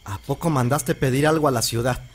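A man's voice: mid-range voice, fairly quick, natural voice. An actor playing a devoted young Mexican village husband and father in an audio drama, earnest and doting.

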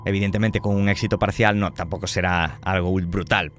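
A man running a tabletop horror game as game master, laying out options in a measured way and checking in often. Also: deep voice, fairly even intonation, a little hiss or hum.

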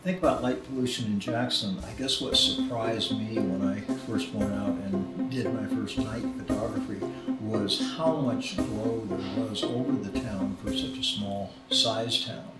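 A man's voice: fairly low pitch, fairly even intonation, measured pace, recorded from a distance. A middle-aged man talking reflectively in a documentary interview. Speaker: interviewee, photography group founder. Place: small home office, indoors. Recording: roomy, off-mic, music behind. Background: music.

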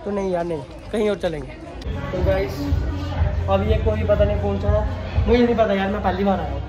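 A man's voice: high-pitched, a little fast. A young man chatting casually to viewers while filming a vlog on his phone, talking to them as guys and friends. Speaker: vlogger. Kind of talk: casual vlog narration to camera. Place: outdoors in town and in a busy restaurant. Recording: noisy phone vlog with music and crowd. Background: music and crowd chatter.

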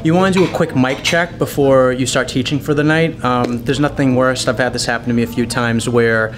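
A man in his thirties talks through a training lesson in a casual, conversational style. His pitch sits in the middle of the male range and his delivery is informal and a little rambling. Music is clearly audible behind him. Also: steady tone, fairly quick. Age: 30s. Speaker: paint party business owner giving training. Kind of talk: casual instructional talk to camera. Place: small restaurant dining room, indoors.

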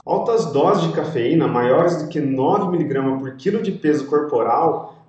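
A man's voice: on the high side, a little mellow. A young man from Brazil, a sports nutritionist, explaining steadily to camera in a small room and checking in with his viewers as he goes. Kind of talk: educational video talk to camera. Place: small indoor room with pink lighting. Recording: very echoey room, distant mic.

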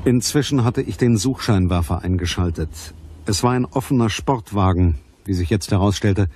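Hard-boiled detective, low husky voice, terse, unsentimental, crime radio play narration. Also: male voice, normal speed, a little mellow. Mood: cool. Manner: terse, matter-of-fact storytelling. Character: hard-boiled private detective, cool, watchful, unsentimental man. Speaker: actor playing narrator and lead detective. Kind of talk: scripted first-person crime radio drama narration.

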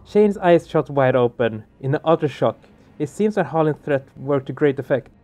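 A man's voice: a little high, expressive, normal speed, darkish tone. A man hosting a let's play, reading game narration aloud and adding casual commentary. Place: small home room, indoors. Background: game music.

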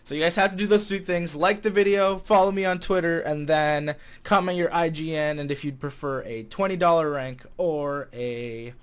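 A man's voice: a little high, moderate pace, few pauses, smooth. A twenty-year-old man with an American accent talks casually to camera as a vlogger, easygoing and a bit hesitant as he thinks aloud. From Chicago area, United States. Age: 20 years old. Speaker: vlogger and game server staff manager. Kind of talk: casual vlog chat to camera. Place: small room at home, indoors. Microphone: desk condenser mic with pop filter.

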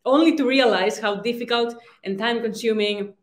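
A woman's voice: fairly high pitch, fairly quick. A young woman from Greece, a startup founder giving a conference talk, hesitant, with many ums and restarts. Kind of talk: conference presentation. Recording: roomy reverb.

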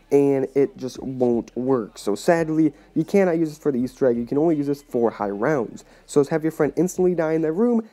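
A man's voice: fairly high pitch, mellow. A young man who is sick, casually narrating a video game glitch tutorial and repeatedly addressing viewers as "you guys". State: sick, voice a bit off. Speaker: gaming channel host.

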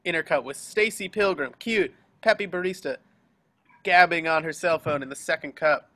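Bright, forceful male narrator. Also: on the high side, normal speed. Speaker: narrator of stage directions. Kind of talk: scripted narration at a table read. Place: remote group video call.

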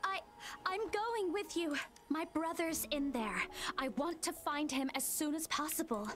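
Worried young explorer, high voice, timid, hesitant, pleading, video game dialogue, light music behind. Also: female voice, unhurried, fairly bright tone. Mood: worried, determined.

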